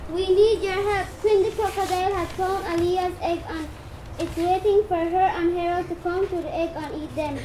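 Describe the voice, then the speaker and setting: A female performer plays an urgent little puppet-show bird. The voice is very high and soft-toned, with slight noise in the live recording. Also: fairly even intonation, moderate pace, rough recording. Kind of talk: amateur puppet show acting.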